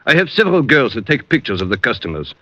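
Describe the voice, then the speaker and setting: A dapper little nightclub manager, fussy and indignant, speaks with bright, crisp edges and animated swings in pitch. The old radio drama recording is dull and boxy. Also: male voice, fast, no echo. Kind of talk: 1940s-50s radio drama acting.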